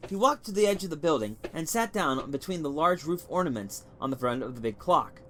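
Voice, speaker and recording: A man reading a short story as scripted narration, in a measured, dramatic storytelling manner. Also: fairly high pitch, expressive, fairly bright tone, slight background noise. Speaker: story reader.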